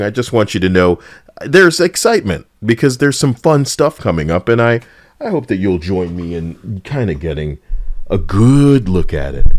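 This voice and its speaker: A man's voice: deep voice, dry recording, studio quality. A man giving casual, blunt and sarcastic opinion commentary as a gaming video host, with an edgy streak. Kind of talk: casual opinion commentary over game footage.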